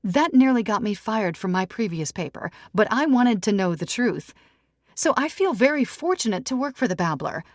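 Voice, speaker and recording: A woman's voice: on the high side, big pitch swings, normal speed, no room sound, studio quality, voice pushed up. A woman reading an audiobook, voicing a composed, firm female tabloid editor with an assured, pointed way of talking. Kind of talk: audiobook character dialogue.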